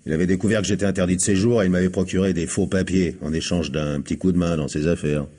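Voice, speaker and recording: An actor playing a bitter, defiant ex-convict manservant in a French radio crime drama, sneering and slangy, with a husky voice. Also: male voice, sits low, fairly even intonation, fast talker, dark tone. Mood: bitter cynicism.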